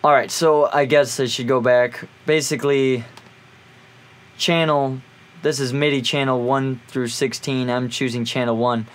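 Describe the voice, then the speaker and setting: A man's voice: medium pitch, fairly even intonation, a little slow. A man presenting an informal music gear tutorial, explaining in an offhand way as he thinks aloud.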